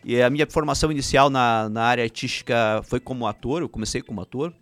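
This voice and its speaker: A man's voice: mid-range voice, fast, fairly bright tone. Chatty man with Ukrainian roots. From Paraná, Brazil. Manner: rambling, frequent restarts and "né?" tags. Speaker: filmmaker guest. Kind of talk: casual interview chat.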